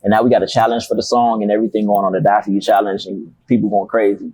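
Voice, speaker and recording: Fast-talking young rapper, husky. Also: male voice, lowish voice, flat delivery, warm tone, no echo, low-quality recording. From Miami, Florida. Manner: laid-back, slangy, loose storytelling with profanity. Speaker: rapper guest. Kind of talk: casual interview chat.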